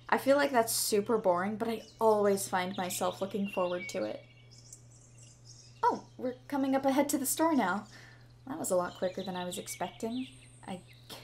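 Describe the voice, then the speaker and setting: A woman's voice: medium pitch, natural voice. A woman playing a shy, sweet schoolgirl with a crush in an ASMR roleplay, speaking in a bashful, hesitant, rambling way. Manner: bashful, hesitant, chatty, giggly. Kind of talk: ASMR roleplay, scripted to the listener. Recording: clearly audible background ambience noise.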